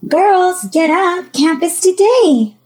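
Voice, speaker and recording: Woman acting out a nagging mother, pitched high. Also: brisk, dark tone, faint music behind, lightly acted. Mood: urgent scolding. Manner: exclamatory, nagging, mixing Spanish into English. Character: bustling, scolding Mexican-American mother of a teenage girl.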